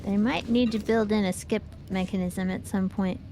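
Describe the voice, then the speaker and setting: A woman's voice: medium pitch, steady tone, normal speed, noisy background. A woman co-hosting a gaming show, chatting casually while she plays.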